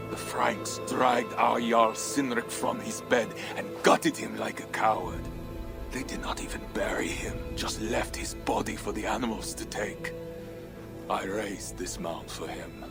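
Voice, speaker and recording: Brooding viking warlord, deep voice, solemn n proud, video game drama, music behind. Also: male voice, steady tone, measured pace, gravelly. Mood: grim, solemn. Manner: solemn, formal, archaic phrasing.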